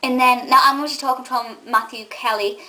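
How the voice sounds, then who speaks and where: A young woman from England chatting casually to camera, enthusiastic and rambling, with a slightly gruff voice. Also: sits high, fairly even intonation, fairly quick, bright, forceful tone, poor audio. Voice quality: says she has a gruff voice. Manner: enthusiastic and nostalgic, rambling with many ums. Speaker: vlogger and film reviewer.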